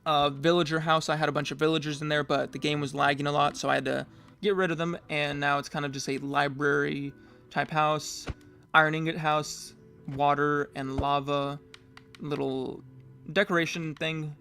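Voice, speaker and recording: A young man casually touring his game world, rambling and correcting himself, his voice getting hoarse. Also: a little high, flat delivery, moderate pace, sharp tone, faint music behind. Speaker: gamer giving a world tour.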